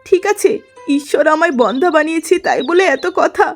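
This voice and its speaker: A woman voicing a gentle, sorrowful elder sister in a melodramatic cartoon story, plaintive and self-pitying. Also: sits high, moderate pace, faint music behind, natural voice. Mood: hurt.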